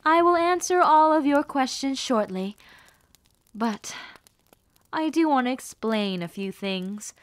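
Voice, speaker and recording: A woman playing a haughty, menacing rebel mistress in a fantasy audio roleplay, speaking formally and condescendingly to the listener with theatrical pauses. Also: high-pitched, animated, no echo. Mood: silky menace. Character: haughty, menacing villainess, rebel mistress with sweet cruel poise.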